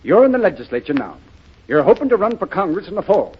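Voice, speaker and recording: Husky, high-pitched old colonel. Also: male voice, big pitch swings, quick pace, heavily acted. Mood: admonishing. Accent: American. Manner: blustery, fatherly, admonishing. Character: blustery cautious small-town colonel, older local politico. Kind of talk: 1930s radio drama acting. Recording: dull, muffled 1930s radio recording.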